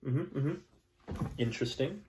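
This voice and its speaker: A man, an elementary school teacher, reading a picture book aloud to his students with gentle, calm storytelling and chatty asides to the kids. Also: medium pitch, slow, a little hiss or hum.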